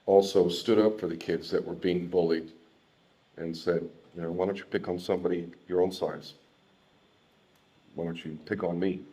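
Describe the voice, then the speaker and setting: A man with an American accent speaks at a talk about his own life. His voice sits low and moves very little in pitch. He talks haltingly and reflectively, repeating words as he finds his way. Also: dark tone. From Southern California. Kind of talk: personal testimony in an unscripted talk.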